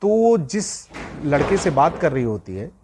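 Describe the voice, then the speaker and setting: A man's voice: on the high side, big pitch swings, measured pace, noisy background. A man narrating true crime in a conversational, moralizing way, repeating phrases for emphasis. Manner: repeats phrases for emphasis, addresses listeners directly.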